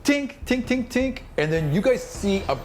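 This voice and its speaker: A man acting as game master, improvising narration in a casual, halting, colloquial way. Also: very high voice, sing-song, over a noisy crowd. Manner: halting, colloquial descriptions with spoken sound effects.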